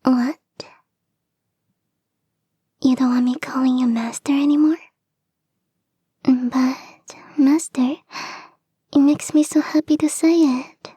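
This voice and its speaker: A woman playing a clingy, teasing fox spirit girlfriend in an intimate ASMR roleplay, speaking in a soft, breathy whisper. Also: high voice, fairly even intonation, takes it slowly, pauses often, dry recording, studio quality, exaggerated voice. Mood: doting, teasing. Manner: coy, doting, playfully teasing. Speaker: voice actor in a roleplay.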